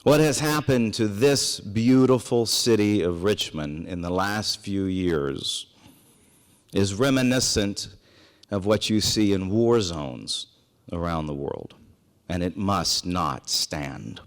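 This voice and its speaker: A man from South Carolina giving a formal prepared speech as event host, earnest, emphatic and deliberate. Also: low voice, lively intonation. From South Carolina, United States. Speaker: event host introducing a speaker. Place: indoor event hall with audience. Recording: echoey hall with long reverb.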